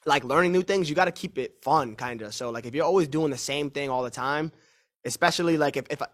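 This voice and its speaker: A man in his twenties, a fighter answering press questions on a call, confident and repeating himself in fast run-on answers. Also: fairly high pitch. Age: 20s. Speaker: mixed martial arts fighter.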